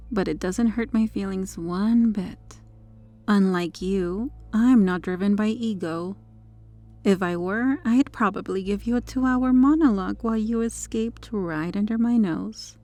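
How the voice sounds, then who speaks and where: A woman playing a possessive superheroine with a hidden dark obsession in an ASMR roleplay, her manner controlled and teasing. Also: medium pitch, lightly acted. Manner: smug, flirtatious, controlled.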